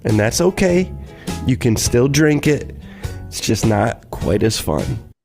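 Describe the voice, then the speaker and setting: A man's voice: mid-range voice, sing-song, takes it slowly, a little mellow. A man presenting on radio in a conversational, reflective scripted monologue style. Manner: reflective, wryly self-deprecating. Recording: music bed behind the voice. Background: light guitar music.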